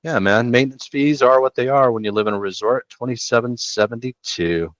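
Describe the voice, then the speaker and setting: A man's voice: mid-range voice, fairly quick, a bit bright, dry recording. A middle-aged man hosting a real estate show, chatting casually and slangily through listings on a headset from a small room. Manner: laid-back, slangy, chatty. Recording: dull dry home recording.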